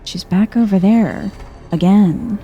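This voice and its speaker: A soft-spoken woman giving chill, understated game commentary in an ASMR style, musing aloud as she plays. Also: medium pitch, takes it slowly, slightly rough, muffled recording. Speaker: video game streamer.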